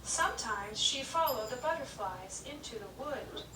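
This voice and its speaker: A woman narrating a children's puppet show story in a slow, gentle, clearly paced reading. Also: fairly high pitch, lively intonation, noisy background. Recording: echoey room, distant mic.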